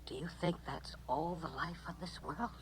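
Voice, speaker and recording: An actress playing a weary, fearful member of a stranded alien band in a 1980s radio drama. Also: female voice, low-pitched, normal speed, a little hiss or hum. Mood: uneasy.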